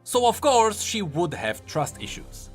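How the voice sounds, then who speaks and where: A man's voice: high voice, very animated, unhurried, faint music behind. A man presenting explanatory video commentary on game lore, informal and emphatic in his delivery. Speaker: YouTube game lore host.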